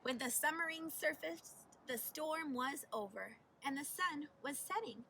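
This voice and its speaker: High, bright woman reading a children's storybook. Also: a little slow, creaky. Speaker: teacher reading aloud. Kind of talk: expressive children's storybook narration. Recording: faint noise, distant mic.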